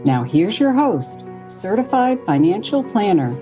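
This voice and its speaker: A woman's voice: medium pitch, sing-song, measured pace. A woman reading a polished, upbeat scripted podcast introduction. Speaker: show announcer. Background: music.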